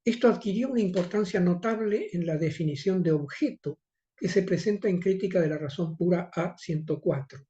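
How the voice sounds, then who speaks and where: Older Argentine-accented male academic, mellow tone, muffled conference recording. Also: sits high. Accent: Rioplatense Spanish. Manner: measured, formal reading with occasional hesitations. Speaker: conference speaker. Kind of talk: reading an academic lecture.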